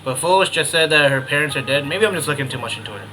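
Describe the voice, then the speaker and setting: A man's voice: a little high, racing, background noise. A man giving offhand, casual reactions as he plays through a story game, often in short muttered asides. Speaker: gaming video host. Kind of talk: casual playthrough reaction commentary. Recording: game music behind the voice. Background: video game music.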